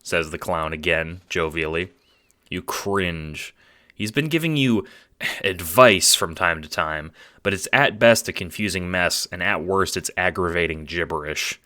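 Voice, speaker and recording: Male narrator, audio drama: low, bright and forceful, wry dramatic storyteller. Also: a little slow. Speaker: narrator and producer. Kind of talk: scripted second-person audio drama narration.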